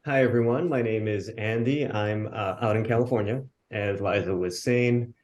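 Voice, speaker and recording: A man from Hawaii speaking casually as a researcher on a video-call panel, relaxed and self-deprecating. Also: on the low side, moderate pace, lo-fi sound.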